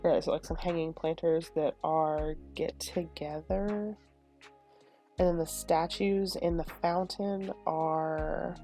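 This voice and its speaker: A young woman talks casually and rambles, sniffling from a stuffy nose and filling pauses with "um" and "like". Her voice is low-pitched and mellow. Faint chill music plays underneath. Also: vocal fry. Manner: rambling and chatty, lots of fillers. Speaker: Sims build video creator. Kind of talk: casual chat over a speed build. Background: soft lo-fi music.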